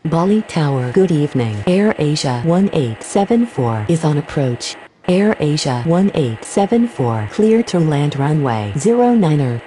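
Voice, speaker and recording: A woman's voice: low voice, very animated, unhurried, dark tone, a little hiss or hum, low-quality recording. A woman voicing a formal, procedural airport controller in a game, with clipped radio phrases. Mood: businesslike. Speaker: air traffic radio voice.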